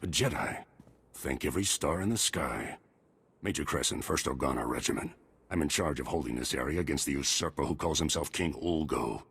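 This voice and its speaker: An actor playing a stern, worried middle-aged army major and father in a video game, gruff and commanding. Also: fairly quick, fairly bright tone, heavily acted. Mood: commanding, urgent. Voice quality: very deep, gravelly husky voice. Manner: gruff, commanding military delivery.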